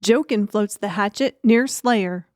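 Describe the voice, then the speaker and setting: A woman's voice: medium pitch, a little slow, a little mellow, no echo, studio quality. A woman reading dramatic scripted audiobook narration as the storyteller.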